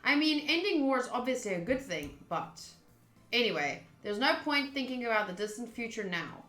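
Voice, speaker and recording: A chatty, expressive woman, a native Afrikaans speaker, streaming a game with casual talk and reading aloud. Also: fairly high pitch, moderate pace. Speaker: streamer playing a visual novel. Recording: roomy sound with music underneath. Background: game music.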